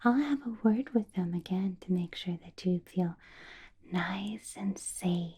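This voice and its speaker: A woman acts out a female vampire boss in an ASMR roleplay. Her whisper is very dark and velvety, heavily breathy and unhurried. She questions quietly and possessively, coaxing with lingering hums. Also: on the low side. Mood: coaxing, reassuring. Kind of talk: ASMR roleplay, personal attention.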